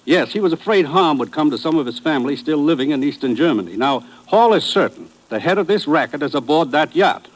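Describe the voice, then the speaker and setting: An actor playing a commissioner who briskly briefs an agent on a mission, in a 1950s radio drama. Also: male voice, fairly high pitch, expressive, poor audio. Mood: authoritative. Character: brisk official male commissioner giving orders.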